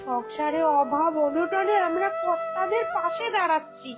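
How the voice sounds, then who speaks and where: A woman voicing an anxious, outspoken young sister-in-law in a village cartoon, with a pleading, excitable manner. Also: extremely high pitch, moderate pace. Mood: insistent. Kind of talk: Bengali animated fairy-tale voice acting. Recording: music behind.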